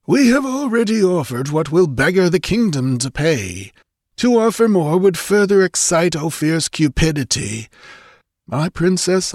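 An elderly chancellor in a fantasy tale , played by a male narrator, careworn and cautious. He gives formal, emphatic counsel in a raised, lively voice, recorded dry. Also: fairly high pitch, no room sound, pitched above the performer's own voice. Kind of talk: fantasy storybook character voice.

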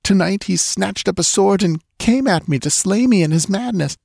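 A man's voice: sits high, lively intonation, moderate pace, creaky, darkish tone, slightly rough, no room sound, clean studio sound, voice pushed up, full character voice. A man voicing a frightened young woman, a dancing girl who pleads breathlessly. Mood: urgent.

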